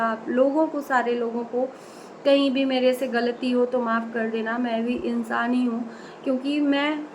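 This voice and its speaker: Earnest high-voiced woman giving opinions. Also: a little hiss or hum, rough recording. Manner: earnest, opinionated, addresses viewers directly; many fillers and self-corrections. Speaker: reaction vlogger. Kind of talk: direct-to-camera commentary.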